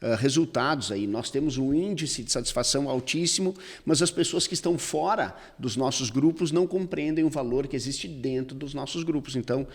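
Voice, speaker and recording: A middle-aged man, co-hosting a podcast and speaking earnestly and in a measured way. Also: mid-range voice, slightly rough. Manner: earnest, measured, uses metaphors of sowing. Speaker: podcast co-host. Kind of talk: casual podcast conversation. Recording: long hall reverb.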